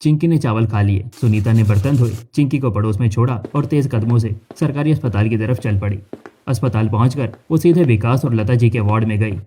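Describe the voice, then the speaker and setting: Mellow male story narrator. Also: medium pitch, fairly quick, a little hiss or hum.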